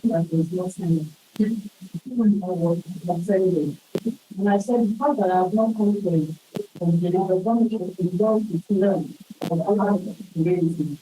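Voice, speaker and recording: A woman sharing a halting personal anecdote in a workshop, on muffled, hissy audio. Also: fairly low pitch, dark tone.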